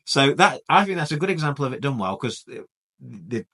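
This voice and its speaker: A man in casual chat as a podcast co-host, relaxed and rambling, trailing off with fillers. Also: mid-range voice, a little fast.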